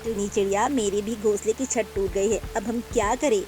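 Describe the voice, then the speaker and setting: A woman's voice: mid-range voice, steady tone, normal speed, dark tone, soft background music, natural voice. A woman voicing an older female bird aunt in a cartoon, giving kindly, advising talk. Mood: worried.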